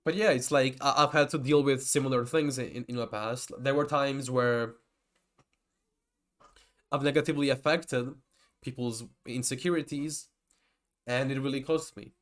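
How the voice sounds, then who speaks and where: A man's voice: medium pitch, fairly even intonation, normal speed, pauses often, a bit bright. Rambling young man lecturing. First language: Georgian. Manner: rambling, digressive, with many restarts. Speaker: typology lecturer. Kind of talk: casual lecture to camera.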